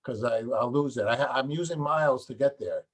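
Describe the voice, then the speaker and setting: Host, man, chatty and rambling, jokey, mid-pitched everyday voice. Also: brisk, a crowd in the background. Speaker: interviewer, host of peace concert show. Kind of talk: casual phone interview.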